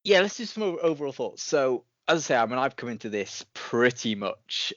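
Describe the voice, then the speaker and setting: A man's voice: mid-range voice, sing-song, moderate pace, a bit bright, no echo, muffled recording. A man co-hosting a podcast review, chatting in an informal, lightly joking manner. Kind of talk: casual chat podcast review.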